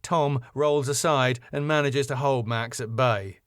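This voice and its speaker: A man reading the stage directions of his own sitcom script in a brisk, matter-of-fact way. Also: mid-range voice, steady tone, slight background noise.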